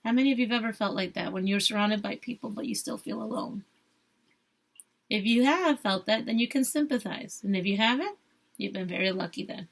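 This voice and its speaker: A woman teacher reading a story aloud expressively, pausing to put questions and asides to her young listeners. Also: fairly high pitch.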